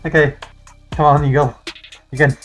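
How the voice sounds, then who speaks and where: A man giving casual live playthrough commentary as a game streamer, excitable and easily amused. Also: fairly high pitch, normal speed, soft background music.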